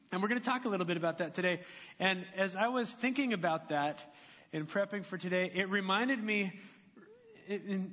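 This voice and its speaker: A man preaching in a church sanctuary, chatting and joking with the congregation between points. His voice sits high for a man. The sound is muffled, with a long reverb trailing off each phrase. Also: fairly bright tone. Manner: conversational, jokey, asks the congregation questions. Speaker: pastor. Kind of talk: sermon.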